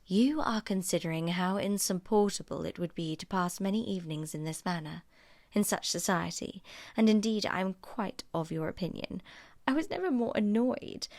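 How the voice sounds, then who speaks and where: A woman's voice: mid-range voice, normal speed, a little mellow, no room sound, natural voice. Woman putting on a haughty, snide society lady. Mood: disdainful, bored. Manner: affected, disdainful, arch. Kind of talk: audiobook character acting.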